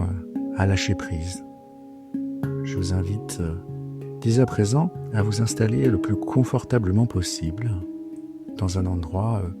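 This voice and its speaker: A man leading a guided sleep meditation in a soothing, unhurried, hesitant way with long pauses. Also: low-pitched. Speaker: yoga nidra guide. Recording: soft guitar music behind the voice. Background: gentle plucked guitar music.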